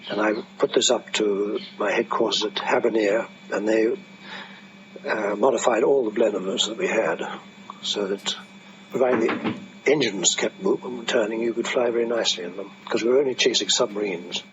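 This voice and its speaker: A man's voice: lowish voice, normal speed. Muffled, noisy recording of an older man. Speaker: veteran wing commander recalling the war. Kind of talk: spoken reminiscence. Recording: muffled, noisy archive interview tape.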